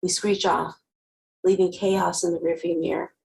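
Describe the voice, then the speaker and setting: A woman as a wry teen girl, slightly gravelly. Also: fairly low pitch, flat delivery, moderate pace, darkish tone. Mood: tense. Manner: wry, conversational storytelling. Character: wry, guarded teenage girl narrator with sharp tongue. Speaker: actor. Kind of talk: first-person dramatic reading of a novel.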